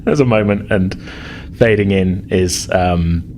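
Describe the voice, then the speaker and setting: A man with a low voice narrates a tabletop game as game master. He improvises his descriptions in halting pieces, and there is clear noise behind him on the recording. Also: a little mellow.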